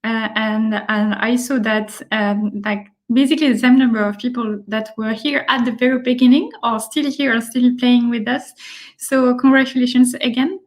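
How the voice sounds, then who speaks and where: A woman's voice: on the high side, fairly even intonation, normal speed. A woman from Paris, hosting an online event on a video call, hesitant with many fillers. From Paris, France. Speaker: event host.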